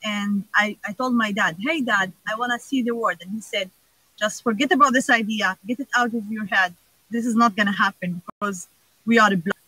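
Woman, from Gaza, bright tone, earnest, video call, dry sound. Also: mid-range voice, a little fast, breathy edge, no echo, poor audio. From Gaza, Palestine. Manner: earnest, many "uh" fillers. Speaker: panel guest. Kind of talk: personal talk on a video call.

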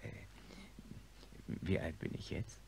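An actor playing a wealthy, impatient industrialist in a radio drama, with a commanding manner. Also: male voice, slow, lightly acted. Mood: smug, elated. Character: wealthy industrialist reborn in a young body, imperious, impatient. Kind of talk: German radio drama acting. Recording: hissy radio play recording.

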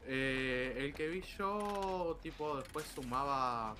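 Argentine man, mid-pitched, casual slangy gamer talk with halting explanations, clearly noisy voice-chat recording. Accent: Argentine Spanish. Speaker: fellow player in voice chat. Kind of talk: casual chat while gaming.

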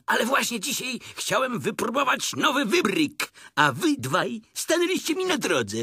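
A scatterbrained young tiger for a children's story. The voice leaps far up in pitch and has a rough, husky edge. It is boisterous and excitable, always close to a roar. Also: male voice, measured pace, full character voice. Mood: boisterous, excited. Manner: roaring, excitable exclamations.

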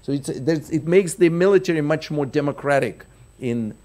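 A middle-aged man delivers a keynote from a lectern microphone in a large conference hall. His voice sits a little high for a man. He explains point by point and often stops, restarts and repeats words. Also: moderate pace, darkish tone. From Russia. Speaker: keynote speaker.

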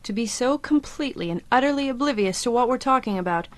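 Woman acting lively, formal space commander. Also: on the high side, quick pace, close to an everyday voice. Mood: appalled. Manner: formal, wordy, disdainful. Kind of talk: parody old-time radio serial acting.

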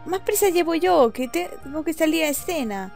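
A woman's voice: high-pitched, lively intonation, moderate pace, creaky, darkish tone, faint music behind, pitched above the performer's own voice. A woman voicing a brash, scolding young stage actress from a video game, with an attempted Argentine accent. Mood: indignant.

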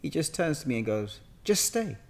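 A man's voice: fairly high pitch, big pitch swings, normal speed, vocal fry. A man in his thirties with a London accent, telling stories casually and colloquially as an interview guest on a podcast microphone. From London, England. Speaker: guest actor. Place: bright indoor room with sofa. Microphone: podcast microphone on boom arm.

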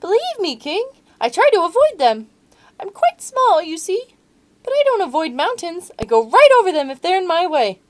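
Woman as a small brave-talking mouse, high and squeaky, eager to please. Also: very animated, moderate pace. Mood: earnest. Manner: squeaky, pleading.

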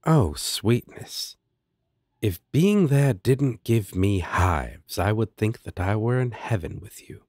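Man as husky, laid-back demon roommate. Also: fairly low pitch, expressive, slow, darkish tone. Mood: playful, teasing. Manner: casual, playful, sardonic. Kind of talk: scripted fantasy audio roleplay.

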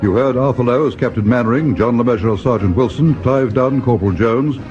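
A man's voice: medium pitch, fast, a little mellow. Male announcer over music. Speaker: continuity announcer. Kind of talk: scripted credits reading. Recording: dull old radio broadcast over music.

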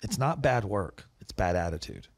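A middle-aged man hosting a podcast in a studio set on a boom mic, talking casually and growing animated and emphatic as he makes his points. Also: normal speed, gravelly, husky, dry recording. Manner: animated, gets loud and emphatic when making a point. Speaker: podcast host, business owner. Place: studio set with wooden walls and shelves. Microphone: boom mic with headphones.

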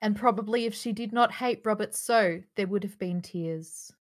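A woman's voice: medium pitch, normal speed. A woman reading stage directions as narrator in a live script table read. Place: indoors, on a group video call.

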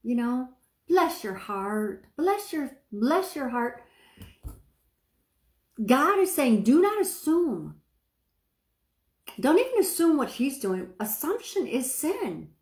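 A middle-aged woman with a soft speaking voice, preaching casually to a phone camera and checking that listeners follow her. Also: high-pitched, big pitch swings, slow pace, pauses often, reverberant. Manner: chatty, asks the viewer questions, stretches words for emphasis. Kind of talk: informal sermon to camera. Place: small room indoors, seated.

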